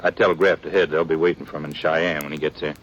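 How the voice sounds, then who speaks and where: An actor playing a steady, plainspoken western sheriff in an old-time radio drama, folksy and measured, with an American accent. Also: male voice, a bass voice. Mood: weary, matter-of-fact. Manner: folksy, measured, repeats himself. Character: plainspoken, steady frontier sheriff, a grown man. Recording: muffled, noisy vintage radio recording.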